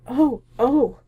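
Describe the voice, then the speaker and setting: A woman's voice: high voice, a little mellow. A woman playing a polite, scheming murder suspect who feigns innocence in an old-time radio mystery, in a melodramatic manner. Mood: hesitant, feigned dismay. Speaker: amateur radio actor.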